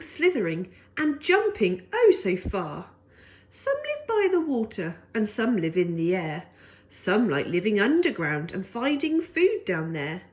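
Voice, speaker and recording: A woman reading a rhyming picture book aloud to young children in an expressive, sing-song way. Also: mid-range voice. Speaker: story reader for pre-school children.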